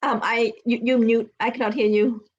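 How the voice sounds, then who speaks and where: A woman's voice: a little high, flat delivery, normal speed, slightly rough, lo-fi sound. A woman hosting an online talk show, friendly and somewhat hesitant, with frequent fillers.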